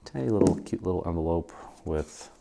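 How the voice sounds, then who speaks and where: A man's voice: low-pitched, expressive, a little fast, gravelly, dark tone, a little hiss or hum. A man in his forties with an American accent, casually hosting a step-by-step craft tutorial in an informal, easygoing way. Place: indoor craft studio.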